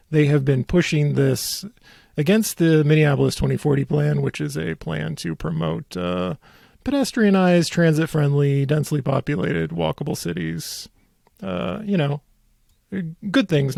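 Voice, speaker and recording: A man hosting a podcast in casual chat, teasing and mock-serious with dry sarcasm and a gravelly, husky voice. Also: fairly high pitch, a little mellow, dry recording. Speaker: podcast host. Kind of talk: casual chat about local politics.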